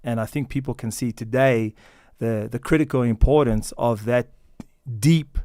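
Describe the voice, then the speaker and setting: An American man answering interview questions as a company founder, earnest and measured, often pausing with fillers and restarting. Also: medium pitch, warm tone, no echo, studio quality. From United States.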